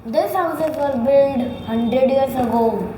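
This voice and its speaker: Woman student, higher mellow voice, repeats lines carefully, echoey room. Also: takes it slowly, slight background noise. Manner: careful repetition with small slips. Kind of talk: repeating drill sentences.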